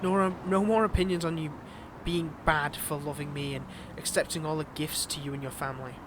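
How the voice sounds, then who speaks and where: Man playing villainous ex-lover, fairly high male voice, flirty, manipulative, coaxing, faint menace, drawn-out phrasing, romantic ASMR drama, noisy background. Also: fairly even intonation, close to an everyday voice. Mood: flirtatious, persuasive. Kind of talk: romantic ASMR audio roleplay.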